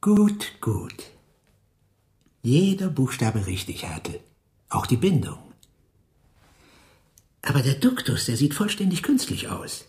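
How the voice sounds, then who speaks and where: A domineering forger who rules over fellow prisoners in a radio drama. He lectures at a crawl, stretching and savouring his words. His pitch rises and falls widely as he instructs. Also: very animated, a little mellow. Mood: patronising, instructive. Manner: drawn-out, lecturing, savouring each word. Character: domineering male prisoner boss, master forger coaching handwriting. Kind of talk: radio play acting.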